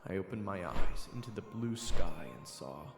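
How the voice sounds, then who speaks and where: Man as an animated swordsman storyteller in reverb. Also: deep voice, normal speed, vocal fry. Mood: awed. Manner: conversational, dramatic storyteller. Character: roguish, chatty young swordsman retelling his adventure. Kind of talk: first-person fantasy audio drama narration. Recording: echoey reverb, loud music behind.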